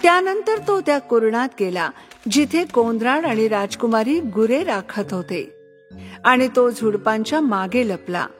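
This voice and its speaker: A woman's voice: on the high side, animated, a little slow, faint music behind. A woman narrator reading a scripted fairy tale for children.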